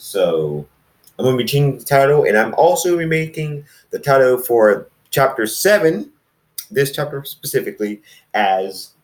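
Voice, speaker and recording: A man reading amateur fan fiction and chatting to viewers in a halting, self-deprecating, rambling way. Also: medium pitch. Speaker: fan fiction reader, video host. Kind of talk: amateur story reading and casual vlog chat. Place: dark room, in front of camera. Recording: roomy echo, distant mic.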